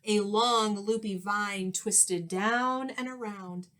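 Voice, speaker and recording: A woman reading a children's storybook aloud like a teacher, expressive and sing-song. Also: medium pitch. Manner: expressive, sing-song, pausing to ask listeners questions.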